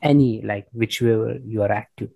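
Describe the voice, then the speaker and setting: A man's voice: on the low side, steady tone, moderate pace, creaky, warm tone, no room sound. A man hosting a conversational interview, often repeating his words and phrases as he goes. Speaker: podcast host interviewing a guest.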